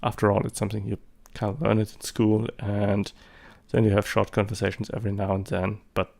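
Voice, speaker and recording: German-accented man, low gravelly voice, hesitant, lots of fillers, casual vlog talk. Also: level tone, a little fast, darkish tone. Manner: rambling, hesitant, many fillers. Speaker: photography vlogger. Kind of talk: casual spoken commentary.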